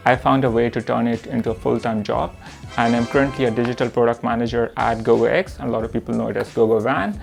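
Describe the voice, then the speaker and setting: A young man from Pakistan introducing himself and his work as a product manager, talking in an earnest, measured way with frequent pauses. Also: medium pitch, creaky. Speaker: product manager and course instructor. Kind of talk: reflective self-introduction to camera.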